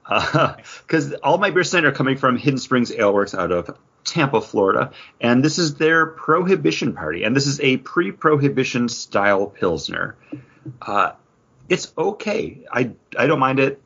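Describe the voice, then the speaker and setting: A man's voice: mid-range voice, big pitch swings, moderate pace, creaky, fairly bright tone, breathy edge, highs cut off. A man co-hosting a podcast in a casual, rambling, conversational way. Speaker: podcast co-host. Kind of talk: casual chat.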